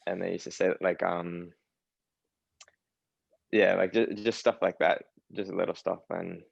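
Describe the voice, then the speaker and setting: Young man, Long Island accent, dull dry lo-fi sound, halting casual answers. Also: deep voice, level tone, vocal fry, dry recording. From New York, USA. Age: young adult. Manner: hesitant, many fillers and restarts. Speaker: guest, academy footballer. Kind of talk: casual interview chat.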